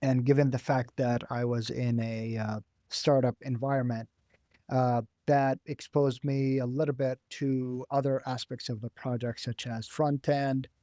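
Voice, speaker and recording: A young man whose first language is Arabic speaks slowly and deliberately, in a middle-range voice, pausing often on "uh" as he thinks. He is a graduate student guest in a career interview. The sound is dry and close. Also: mid-range voice, level tone, warm tone, dry recording. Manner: measured, thoughtful, with frequent "uh" pauses.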